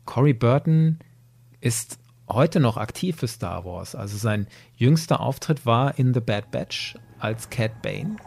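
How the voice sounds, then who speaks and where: A man's voice: medium pitch, expressive, unhurried, vocal fry, darkish tone. An enthusiastic man chatting as a podcast co-host. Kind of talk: casual discussion. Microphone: close podcast microphone.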